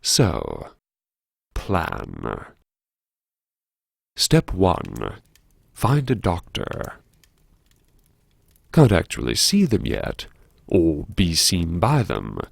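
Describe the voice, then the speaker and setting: A man performing a first-person audiobook monologue as a brooding, wry character who anxiously lectures himself in a theatrical, musing way with dramatic pauses. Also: low-pitched, wildly swooping pitch, vocal fry, slightly rough, dry recording, studio quality, full character voice. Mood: wry, deliberating. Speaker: audiobook narrator.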